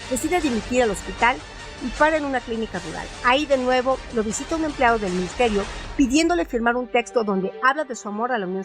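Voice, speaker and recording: Woman reading a formal literary analysis. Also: fairly high pitch. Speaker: radio host. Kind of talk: scripted literary analysis narration. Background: music bed.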